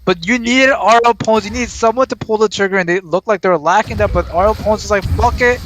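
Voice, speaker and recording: Rambling male esports caster, fairly high voice. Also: fast talker, fairly bright tone, slight background noise. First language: Arabic. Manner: rambling, searches for words with many fillers. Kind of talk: casual esports color commentary.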